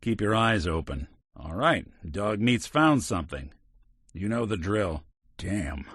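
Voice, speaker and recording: An actor voicing a weary synth detective in a video game, a gruff middle-aged man with a dry, wisecracking manner. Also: on the low side, very animated, a little slow, a bit bright, no echo, full character voice. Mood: focused. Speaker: companion character. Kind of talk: game character barks.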